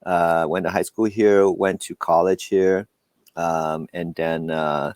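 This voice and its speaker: A middle-aged man with a low voice speaks as a podcast guest in a casual interview. He tells his story haltingly, doubling back on words and slipping into asides. The sound is close and dry, with hardly any room in it. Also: fairly even intonation, darkish tone, dry recording. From Hong Kong, Taiwan and Southern California. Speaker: podcast guest, film producer. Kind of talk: casual remote interview, telling life story.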